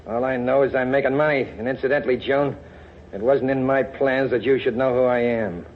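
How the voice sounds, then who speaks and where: Actor as a curt, muffled 1940s radio gangster. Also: male voice, medium pitch, fairly even intonation, a little fast, natural voice. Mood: defensive, scornful. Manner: curt, scornful. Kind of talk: 1940s radio crime drama acting. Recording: muffled old radio broadcast, vehicle noise.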